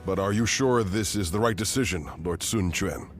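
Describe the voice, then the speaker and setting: An actor playing an outspoken, principled veteran general of ancient China in a video game cutscene, speaking earnestly and deliberately. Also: male voice, deep voice, natural voice. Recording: orchestral music with fiddle behind the voice.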